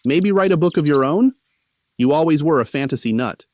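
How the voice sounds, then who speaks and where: A man's voice: a little high, expressive, fairly quick, darkish tone, close to an everyday voice. A man acting an easygoing, slightly stressed college-age artist in an audio drama, talking casually with some hesitation. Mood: casual, animated. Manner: casual, hesitant slangy talk.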